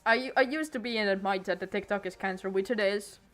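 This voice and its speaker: A teenage girl talks loosely into a desk mic at home, her thoughts stopping and starting as she circles back on herself. Her voice sits at an ordinary middle pitch for a young woman. Faint music plays quietly underneath. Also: fairly quick. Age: late teens. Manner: hesitant, many restarts and trailing thoughts. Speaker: streamer. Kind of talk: casual rambling stream chat. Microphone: desk microphone.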